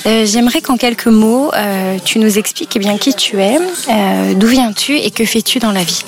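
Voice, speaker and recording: A woman's voice: a little high, moderate pace. A woman hosting a podcast, introducing and interviewing in an informal way.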